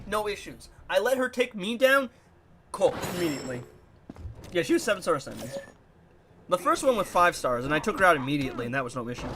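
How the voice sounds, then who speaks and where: A young man with a stuffy, nasal voice, chatting casually as he comments on his own gameplay. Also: high-pitched. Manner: animated, puzzled, rambling. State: congested, nasal. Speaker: gaming YouTuber.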